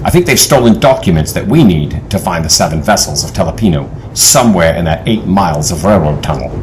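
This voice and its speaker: An actor playing a decisive, steady team leader in an audio adventure drama, measured and formal. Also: male voice, sits low, a little hiss or hum. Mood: firm, planning.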